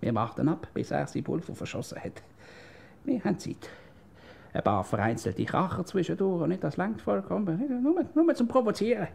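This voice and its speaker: A male actor playing a blustering, know-it-all husband in a comic radio play, with a Swiss German accent and excitable, repetitive delivery. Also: high voice, normal speed, gravelly, husky, exaggerated voice. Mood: scheming, commanding. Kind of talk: comedic radio play dialogue.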